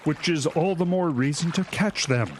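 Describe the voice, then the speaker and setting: A man's voice: fairly high pitch, moderate pace, a little hiss or hum, close to an everyday voice. A man playing a bumbling, secretive, overconfident government agent in an audio drama. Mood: self-important. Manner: pompous, evasive.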